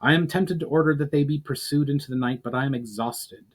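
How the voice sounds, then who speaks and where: A man's voice: a little high, fast talker, slightly rough, pitched above the performer's own voice. Principled, weary war leader, voiced by a man. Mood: commanding.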